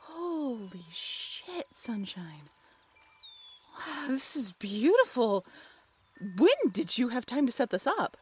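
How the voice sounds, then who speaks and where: Woman acting a high-voiced, playful, teasing young girlfriend. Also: big pitch swings, takes it slowly, a little mellow, a little hiss or hum. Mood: delighted surprise. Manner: giggly, teasing, drawling banter. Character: playful, teasing writer girlfriend of a werewolf, affectionate. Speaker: voice actor in a roleplay audio. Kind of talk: romantic comfort roleplay audio, conversational one-sided dialogue.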